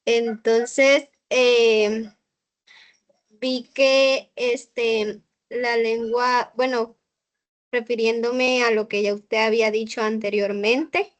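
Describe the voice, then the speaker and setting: Slow, halting Colombian woman student. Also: fairly high pitch, level tone. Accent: Colombian Spanish. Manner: halting, many fillers and restarts. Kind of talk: hesitant class answer. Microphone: video call.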